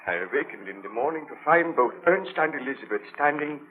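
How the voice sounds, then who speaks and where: An actor playing an obsessive, imperious young baron scientist in a melodramatic 1930s radio drama style. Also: male voice, mid-range voice, expressive, brisk, lightly acted. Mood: grave, recounting. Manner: melodramatic, commanding. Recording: muffled vintage 1930s radio broadcast.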